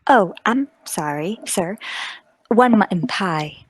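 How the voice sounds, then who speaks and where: A woman's voice: mid-range voice, lively intonation, slow pace, husky, dry recording, voice pushed down. A woman playing a nervous, deferential young waitress in a radio mystery drama, speaking hesitantly. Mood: timid, deferential.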